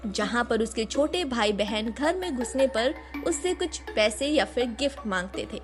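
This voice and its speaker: High-voiced woman narrating a story. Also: animated, moderate pace, music in the background.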